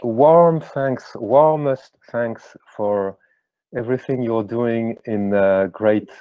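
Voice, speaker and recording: A man hosting an online call, introducing the speaker haltingly and switching between languages. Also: mid-range voice, clean tone, dry recording. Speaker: host. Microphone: video call. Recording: dry, phone-like line.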